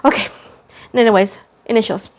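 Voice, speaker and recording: A woman's voice: mid-range voice, fairly even intonation, brisk. Chatty woman reading tarot. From Puerto Rico. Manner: rambling, self-deprecating, repeats phrases for emphasis. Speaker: tarot reader, host.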